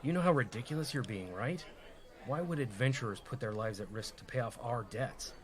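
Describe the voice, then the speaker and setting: A man's voice: mid-range voice, a little fast, close to an everyday voice. An actor playing a sensible, skeptical rogue in a comedy radio play, a dry and exasperated straight man.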